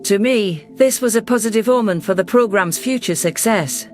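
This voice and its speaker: A man narrating a science fiction story in the first person with steady storytelling delivery. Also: sits high, normal speed, faint music behind.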